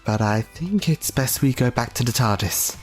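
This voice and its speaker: A reader voicing a calm, kindly but authoritative time-travelling leader in an audiobook. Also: medium pitch, moderate pace, faint music behind, natural voice. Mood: weary, soft. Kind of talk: dramatic audiobook character voice.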